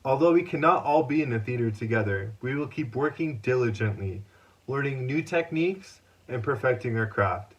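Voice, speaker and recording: Mid-pitched man giving a formal welcome. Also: lively intonation, normal speed, rough recording. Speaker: showcase presenter. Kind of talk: formal scripted welcome speech.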